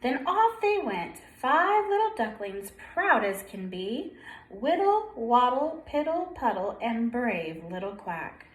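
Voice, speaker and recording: A woman's voice: a little high, big pitch swings, takes it slowly. A woman preschool teacher reading a picture book aloud to young children, prompting with questions and sing-song counting. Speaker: preschool story-time teacher. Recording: roomy echo.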